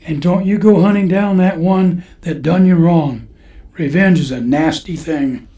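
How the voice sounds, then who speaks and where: A man's voice: on the high side, unhurried, warm tone. A man playing an eccentric, kindly church caretaker who talks in a folksy, knowing, preachy way in a western radio drama. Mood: cryptic, admonishing. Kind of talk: old-time radio western acting.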